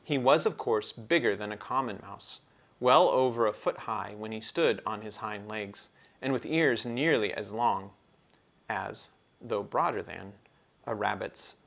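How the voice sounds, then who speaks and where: A man's voice: on the low side, a little slow, vocal fry, a bit bright. A man narrating a children's storybook as a read-aloud. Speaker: storybook reader.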